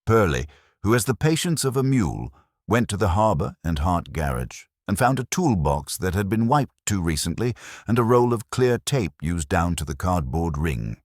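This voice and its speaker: Guy with a super deep voice doing hardboiled mystery narration, animated, no room sound. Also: normal speed, no echo, studio quality. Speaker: audiobook narrator. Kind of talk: first-person detective story narration.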